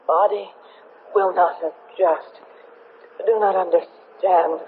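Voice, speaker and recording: An actor playing a dying alien in a 1970s radio drama, pleading to survive in halting, broken phrases. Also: male voice, sits high, fairly even intonation. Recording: muffled, noisy vintage radio recording.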